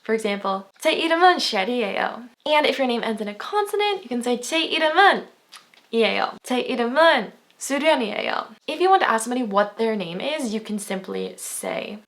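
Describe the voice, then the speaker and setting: A woman's voice: fairly high pitch, a bit bright. A woman, giving a chatty, upbeat video language lesson and laughing often. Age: 20s. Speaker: language teacher.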